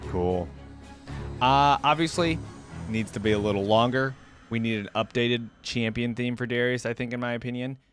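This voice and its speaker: A man's voice: mid-range voice, normal speed, soft background music. A man giving casual reaction commentary as the host of a video.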